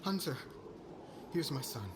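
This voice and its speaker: A man's voice: on the high side, unhurried, natural voice. A man playing an anxious, humble villager and father in an ASMR roleplay, with an earnest, pleading manner. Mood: desperate pleading. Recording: clearly noisy ambient background.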